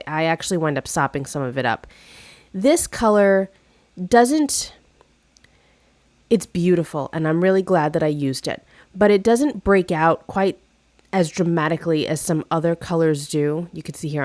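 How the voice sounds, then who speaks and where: A woman narrating a casual craft tutorial, chatty and friendly with fillers and restarts, slightly hoarse from a cold. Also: deep voice, darkish tone, no echo. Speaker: craft video host.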